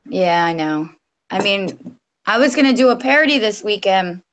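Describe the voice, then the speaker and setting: A woman's voice: medium pitch, lively intonation, moderate pace. A woman guest in casual chat on a livestream, modest and hesitant, often trailing off.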